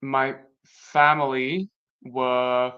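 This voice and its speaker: A young man from Latvia whose first language is Latvian, talking through personal experiences in a casual conversation, reflective and halting with many restarts. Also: medium pitch, level tone, clean tone. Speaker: guest. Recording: dull lo-fi sound.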